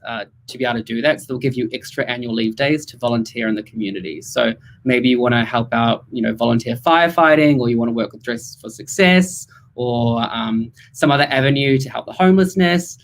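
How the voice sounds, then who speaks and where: Relaxed male event host. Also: mid-range voice, rough recording. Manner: relaxed, filler-heavy, with "um" and "you know". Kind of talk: virtual careers session hosting.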